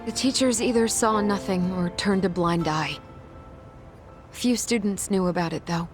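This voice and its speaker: A guilt-ridden young female teacher voiced by a woman in a dramatic game scene. She speaks reluctantly and earnestly in a medium-pitched, measured voice, with a clear musical score behind her. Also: music in the background, natural voice. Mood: somber, confessional. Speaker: English teacher. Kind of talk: dramatic game cutscene acting.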